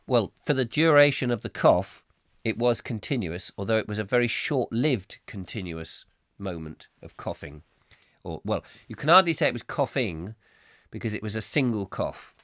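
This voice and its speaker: A slightly hoarse man hosting a comic live chat show, rambling whimsically and mocking himself as he reads out viewer comments. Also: lowish voice, fairly bright tone, no echo, studio quality. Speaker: host.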